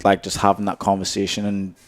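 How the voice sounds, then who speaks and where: Relaxed low-voiced man chatting. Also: fast talker. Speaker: podcast host. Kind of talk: casual chat.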